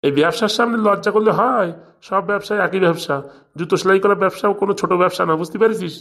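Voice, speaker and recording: A man's voice: high voice, very fast, a bit bright. A man voicing a scheming, blustering father of a grown son in a comic cartoon. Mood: wheedling. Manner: wheedling, blustering, colloquial.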